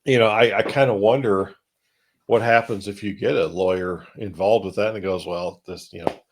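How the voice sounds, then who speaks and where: A man's voice: on the low side, fairly even intonation, moderate pace, background noise. A man co-hosting a tech panel show, talking through technical points casually in a rambling, hedging way.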